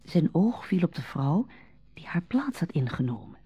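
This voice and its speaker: A woman narrating a radio drama in a measured, literary storytelling manner. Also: sits low, sing-song, dark tone, breathy edge, no echo, highs cut off, faint music behind, heavily acted.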